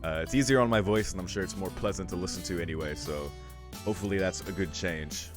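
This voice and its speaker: A man with a low voice giving casual commentary as he reads through a visual novel on a stream. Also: quick pace, over music. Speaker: streamer reacting to a game. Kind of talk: casual commentary while reading visual novel text aloud.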